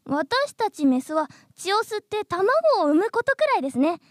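A woman's voice: extremely high pitch, animated, normal speed, dry recording, clean studio sound. A woman voicing a polite, insistent, earnest mosquito in human form in a radio comedy drama. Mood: pushy.

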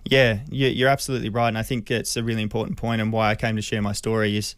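An Australian man in his thirties telling a personal story in an interview, earnest, hesitant and measured, close on a studio mic. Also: on the low side, flat delivery, fairly bright tone, no echo. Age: 30s. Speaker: radio interview guest. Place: small radio studio. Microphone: close studio microphone.

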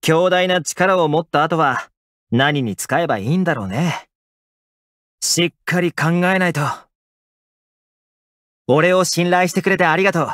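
An actor playing a friendly, caring young housekeeper in an anime-style game, speaking casually and expressively with gentle attentiveness. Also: male voice, a little high, measured pace, long pauses, no echo, clean studio sound. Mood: earnest. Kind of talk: Japanese anime game character voice lines.

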